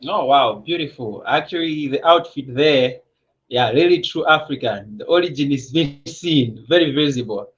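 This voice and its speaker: A man hosting an online panel over a video call, telling stories in an animated way and laughing easily. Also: mid-range voice, moderate pace, dull audio. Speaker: host.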